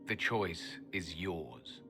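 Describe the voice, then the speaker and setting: A man playing a solemn, world-weary ancient elf guardian in a video game, speaking tersely and gravely. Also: deep voice, animated, very slow, over music, heavily acted. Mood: grave, bitter. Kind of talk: dramatic video game acting.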